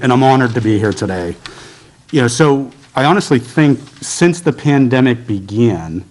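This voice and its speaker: Man, American accent, medium pitch, formal, earnest, prepared political remarks. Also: a little mellow. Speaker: state legislator. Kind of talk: prepared remarks at a press briefing.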